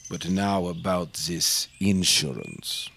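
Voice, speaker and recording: A man acts out an older scientist for an old-time radio play, guarded and haughty. His voice sits low and he takes his time, with formal, halting phrasing and a dramatic intensity. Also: expressive, vocal fry, bright, forceful tone, husky, full character voice. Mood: disdainful.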